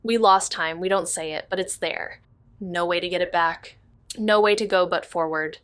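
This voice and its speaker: A woman's voice: lowish voice, normal speed, a bit bright, smooth, no echo, lightly acted. Woman acting as guarded young female detective. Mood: weary. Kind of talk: audio drama dialogue.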